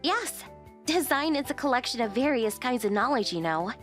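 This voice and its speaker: A woman voicing a poised, direct young company president in an anime-style manga dub, composed and expressive. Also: on the high side, moderate pace, soft background music. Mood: calm explaining. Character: poised young female company president, curt at work, softer privately.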